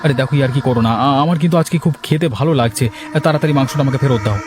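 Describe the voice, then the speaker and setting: A man voicing an excitable, easily frightened village husband in a cartoon, fussy and hurried. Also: medium pitch, noisy background, natural voice. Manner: fussy, hurried, stammers when scared. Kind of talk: cartoon voice acting.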